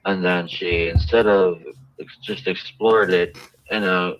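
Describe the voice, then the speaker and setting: Low-pitched, hesitant man, muffled recording. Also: fairly even intonation, unhurried, a little hiss or hum. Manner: hesitant, stumbling, searching for words. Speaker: jail inmate being interviewed by a reporter. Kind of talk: halting personal account in an interview. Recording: muffled, lo-fi jail interview recording.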